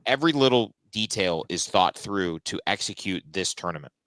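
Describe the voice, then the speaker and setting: Bright, low-pitched American male announcer. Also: animated, normal speed, no echo. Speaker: sports radio play-by-play announcer, podcast guest. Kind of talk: casual interview chat.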